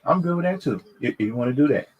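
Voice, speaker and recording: A man's voice: medium pitch, animated, a little mellow, husky, muffled recording. A man chatting casually and unscripted to viewers as a livestream host, stammering and repeating his phrases as he gives opinions. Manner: halting, repetitive, stammering over phrases.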